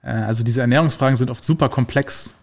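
A man's voice: medium pitch, fast talker, vocal fry. Chatty man explaining science casually. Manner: relaxed, many fillers, explains with everyday examples.

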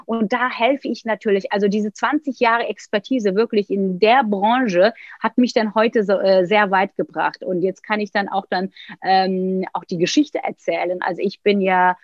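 A woman, telling stories as an interview guest, lively, with many fillers and restarts. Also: on the high side, no room sound. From Turkey. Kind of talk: casual interview talk. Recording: dull, dry sound.

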